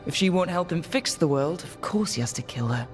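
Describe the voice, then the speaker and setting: An earnest, determined hero voiced by an actor in a fantasy game. The voice is mid-pitched and natural, over prominent music. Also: animated, fairly quick, close to an everyday voice. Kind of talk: naturalistic video game dialogue.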